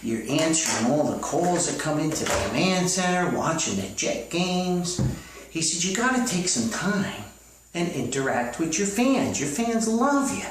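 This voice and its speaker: A man's voice: a little high, sing-song, measured pace, slight background noise, voice pushed up. Guy doing a folksy deluded famous author, rambles and stammers, cheerful comedy bit, echoey and far from the mic. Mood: chipper, rambling. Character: delusional, chipper, bumbling self-styled world famous author. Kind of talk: improvised-sounding monologue comedy skit.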